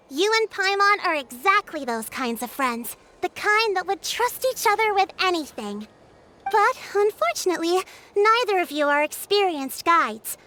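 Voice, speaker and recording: Young female game leader, very high bright voice, lively intonation, firm, encouraging, formal phrasing. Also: a little hiss or hum. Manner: measured, explanatory, formal. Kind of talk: video game character acting.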